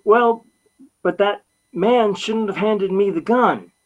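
An actor playing a meek, flustered, bumbling young husband in 1940s radio comedy, hesitant and apologetic. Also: male voice, high voice, slow, dull audio. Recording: vintage radio broadcast.

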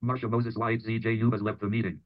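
A man's voice: lowish voice, flat delivery, fast, dry recording, muffled recording. A synthetic male voice reading out screen reader announcements.

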